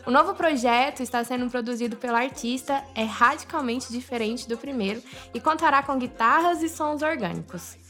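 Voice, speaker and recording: Brief: woman podcast host with a Goiás accent, cheerful, quick to laugh, a bit high-voiced. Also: bright, forceful tone, soft background music. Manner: upbeat, laughs often, chimes in. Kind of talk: casual interview chat.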